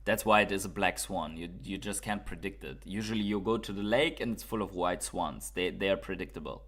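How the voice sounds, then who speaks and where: A man's voice: low-pitched, brisk, fairly bright tone. A man from Germany with a German accent, hosting a casual crypto livestream, reading viewer comments and thinking aloud with fillers and repeats.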